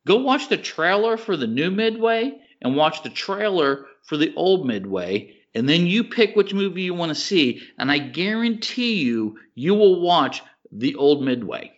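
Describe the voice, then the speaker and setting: A man's voice: fairly high pitch, big pitch swings, measured pace, hardly any pauses. American guy on a podcast, blunt and opinionated, slangy chat, kinda muffled lo-fi audio. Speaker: podcast co-host. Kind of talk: casual chat about movies and TV.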